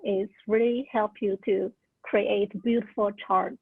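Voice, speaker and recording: A woman's voice: mid-range voice, steady tone, unhurried, slightly rough, no room sound. A young woman in her twenties, not an English speaker, earnestly sharing career tips on a video call, with many "like" and "uh" fillers. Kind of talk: casual talk at a virtual orientation. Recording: narrow tinny call audio.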